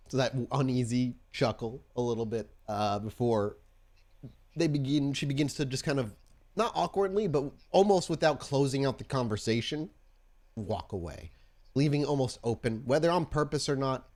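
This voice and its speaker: A man works as game master, narrating a tabletop roleplay scene off the cuff. His voice sits at an average male pitch and is plainly conversational. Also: lively intonation, moderate pace, darkish tone.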